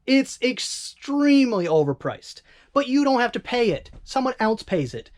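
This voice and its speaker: Chatty reseller with a Northern Michigan accent. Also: mid-range voice. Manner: chatty and rambling, self-correcting with quick asides. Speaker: eBay reseller vlogger. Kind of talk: casual vlog chat.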